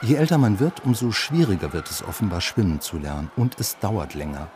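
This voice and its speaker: A man's voice: on the low side, lively intonation, a little fast, a little mellow, a little hiss or hum. A man narrating a scripted reportage as a reporter. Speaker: reporter narrating a radio feature.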